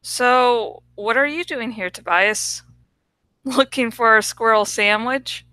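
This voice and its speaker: Storybook heroine, woman reader acting: earnest animal-loving teen girl, teasing streak, bright tone, fairly high. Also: slow pace, dry recording. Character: earnest animal-loving teenage girl who shape-shifts.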